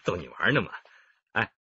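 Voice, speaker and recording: An actor playing a cautious, joking husband in a radio drama, with a theatrical teasing manner. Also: male voice, fairly low pitch, pushed and bright, lo-fi sound, a little hiss or hum, heavily acted. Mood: mock-dramatic, teasing. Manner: theatrical teasing, hesitant when worried.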